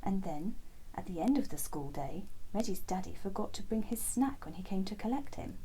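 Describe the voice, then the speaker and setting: A woman narrating a children's bedtime story as storyteller, gently and expressively, with soft, breathy phrase endings. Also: medium pitch, animated, moderate pace, slight background noise, poor audio.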